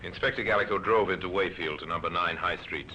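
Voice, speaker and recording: A man's voice: low-pitched, fast talker, over loud music. A man reading scripted narration for an old-time radio mystery drama. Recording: muffled old radio recording.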